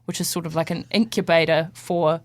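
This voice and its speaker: A woman with a New Zealand accent chatting casually and telling stories in a jokey, animated way as a comedian guest. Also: deep voice, brisk, no room sound. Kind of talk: casual chat, comic storytelling. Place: radio studio.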